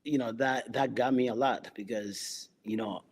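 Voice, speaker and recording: A man's voice: mid-range voice, fairly even intonation, slightly rough. A man who speaks English as a second language, a police officer telling his experiences in a conversational interview, often restarting and filling with "you know". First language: not English. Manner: halting, many restarts and "you know" fillers.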